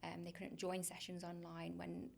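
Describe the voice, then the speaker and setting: A woman in her twenties from Leicester with a faded Leicester accent, chatting casually as a podcast guest, with many ums and a habit of trailing off quietly. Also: fairly low pitch, completely flat delivery, moderate pace, gravelly. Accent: faded Leicester English. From Leicester, England. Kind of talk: casual interview chat.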